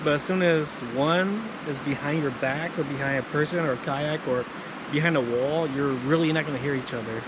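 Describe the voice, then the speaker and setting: A man giving an informal, unscripted how-to in a halting, casual way, often repeating himself. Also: on the high side. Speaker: vlogger demonstrating handheld radios. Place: outdoors near water. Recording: noisy outdoor wind and water ambience.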